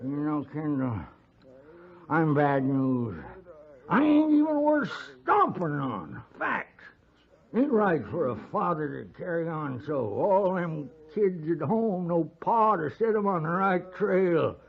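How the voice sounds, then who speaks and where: Boozy American frontier husband, high swooping voice, folksy stammering drawl, muffled old radio Western. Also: male voice, big pitch swings, slow, vocal fry, exaggerated voice. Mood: maudlin self-pity. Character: boozy, wheedling, rough frontier husband with many wives. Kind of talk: 1950s radio Western acting. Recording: muffled 1950s radio recording.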